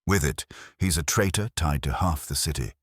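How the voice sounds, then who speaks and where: A man's voice: extremely low pitch, lively intonation, moderate pace, no echo, clean studio sound. A man voicing a wisecracking, irreverent male detective's assistant in an audiobook, flippant and colloquial. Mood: urging. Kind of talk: audiobook character dialogue.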